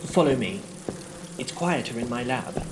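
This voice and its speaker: An actor playing an earnest, prickly captive insect scientist in a comedic audio drama, pedantic and fervent. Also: male voice, medium pitch, lively intonation, normal speed, heavy background noise, lightly acted. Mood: confiding.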